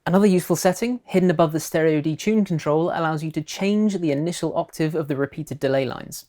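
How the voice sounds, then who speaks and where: A woman presenting a software tutorial in scripted narration. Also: sits low, fast.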